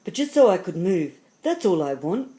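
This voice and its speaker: A woman's voice: fairly low pitch, sing-song, moderate pace, dark tone. A woman voicing a longing, tender-hearted male stone lion in a storybook, earnest and yearning. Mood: wistful longing. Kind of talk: storybook character voice.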